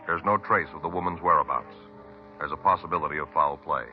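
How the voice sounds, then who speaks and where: A man announcing the opening of a radio crime show in a measured, grave way. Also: a bass voice, phone-quality sound. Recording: old radio broadcast over music.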